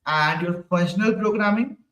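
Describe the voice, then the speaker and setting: A high-pitched man runs a live online coding class. He keeps asking the class questions and tags "right?" onto his sentences. His voice sits a little away from the mic and rings in an echoey room. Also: normal speed, fairly bright tone, distant mic. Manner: repeats questions to the class, ends lines with "right?". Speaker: programming instructor.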